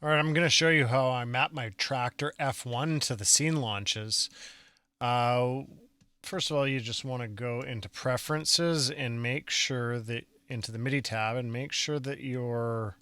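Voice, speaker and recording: A man demonstrating music software in a casual step-by-step tutorial, explaining as he goes and checking in with the viewer. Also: mid-range voice, unhurried, smooth, no room sound.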